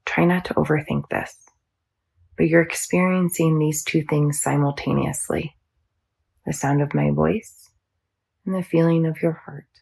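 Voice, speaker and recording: A woman teaching yoga nidra, guiding a meditation in a soothing, measured, instructional manner. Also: low voice, fairly even intonation, vocal fry, a little mellow, breathy edge. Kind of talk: guided meditation.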